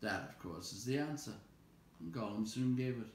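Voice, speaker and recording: A man narrating a storybook aloud in an expressive read-aloud style. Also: lowish voice, normal speed. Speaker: storybook reader. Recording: echoey room with faint background noise.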